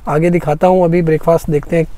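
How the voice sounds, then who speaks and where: A man's voice: a little high, flat delivery, fast, dark tone, slight background noise. Chatty young man vlogging from a car. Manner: chatty, addresses viewers directly. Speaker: travel vlogger. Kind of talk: casual travel vlog narration. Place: inside a car on the road.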